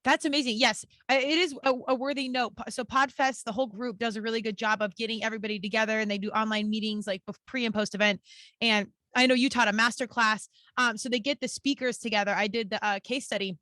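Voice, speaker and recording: A woman's voice: on the high side, steady tone, fast, sharp tone, no echo. A woman hosting a casual podcast interview, speaking in an enthusiastic, informal and affirming way. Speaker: podcast host interviewing a guest.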